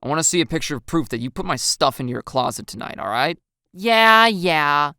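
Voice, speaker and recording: A woman's voice: sits low, animated, fairly quick, sharp tone, no echo, clean studio sound, full character voice. A woman voicing an exasperated, embarrassed teenage boy in an audiobook-style story dub, sulky, sarcastic and complaining. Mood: insistent.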